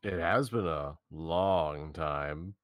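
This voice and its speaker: Man reading a slow, hesitant teenage boy. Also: a bass voice, exaggerated voice. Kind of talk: staged reading of a visual novel.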